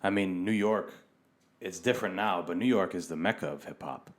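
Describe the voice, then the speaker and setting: A man's voice: deep voice, a little fast. A man from Rhode Island hosting a podcast in casual, slangy talk, swearing freely. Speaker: podcast host.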